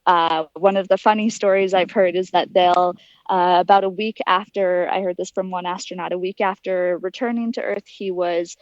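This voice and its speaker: A woman's voice: fairly low pitch, steady tone, normal speed, no echo, muffled recording. An American woman giving informal, detailed and articulate explanations as an interview guest. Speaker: interview guest, aspiring astronaut.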